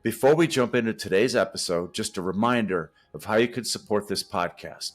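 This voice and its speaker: A man hosting a podcast, reading a scripted introduction of his guest in a steady, announcing manner. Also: lowish voice, fairly quick. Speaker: podcast host.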